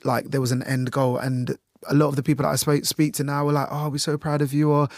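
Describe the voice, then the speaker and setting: A man's voice: on the high side, completely flat delivery, fast talker, a little mellow, no room sound, clean studio sound. A man talking casually and candidly in an interview, telling personal anecdotes and often acting out quoted remarks. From Jamaica, later moved to the UK. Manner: candid, chatty, often voices imagined quotes. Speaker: radio journalist being interviewed.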